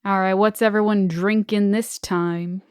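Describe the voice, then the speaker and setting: A woman's voice: mid-range voice, steady tone, unhurried, darkish tone, smooth, dry recording. Woman podcast co-host, close dry sound. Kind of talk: casual podcast chat and reading listener stories aloud.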